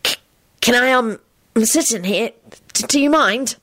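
A woman's voice: a little high, a little slow, a bit bright, no echo, pitched above the performer's own voice. A woman voicing a nervous little boy who stammers hesitantly in an audiobook. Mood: nervous, timid.

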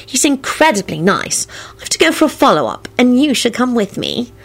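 A woman's voice: fairly high pitch, wildly swooping pitch, a little fast, creaky, voice pushed up, exaggerated voice. A woman voicing a happy, gushing woman who speaks in a conspiratorial way in a storybook reading. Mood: happy, confiding.

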